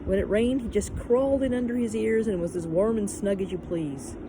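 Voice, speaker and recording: A woman's voice: medium pitch, a little fast, noisy background, close to an everyday voice. A woman voicing a brash, playful young girl who tells tall tales in a bold, teasing way for a children's storybook. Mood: matter-of-fact, playful.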